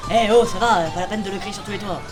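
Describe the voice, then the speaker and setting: A woman's voice: medium pitch, quick pace, over music, lightly acted. A woman voicing a pushy, brusque market seller in a cartoon. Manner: sales patter, brusque. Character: pushy female market dealer, curt when crossed.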